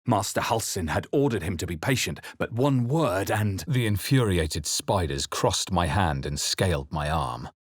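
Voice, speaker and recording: A deep-voiced, grave male adventurer from a fantasy game. His husky tone carries measured, intense dramatic lines with a brooding seriousness. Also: lively intonation, no echo, studio quality, heavily acted. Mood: grave, ominous.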